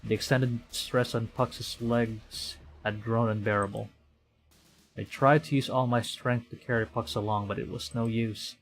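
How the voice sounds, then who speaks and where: A man from the Philippines streaming a game, thinking aloud in a halting way and reading story text aloud. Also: medium pitch, fairly even intonation. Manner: halting, thinking aloud, stumbling over read lines. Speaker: VTuber streamer. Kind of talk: live game playthrough commentary, reading visual novel text aloud. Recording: background game music. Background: game music.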